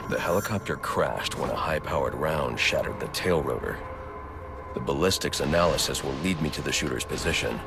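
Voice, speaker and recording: A grim game vigilante, extremely low and gravelly. He speaks in terse, stern phrases like a brooding detective, with music playing behind him. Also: animated, a little fast, exaggerated voice. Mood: grim, analytical. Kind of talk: dramatic video game acting.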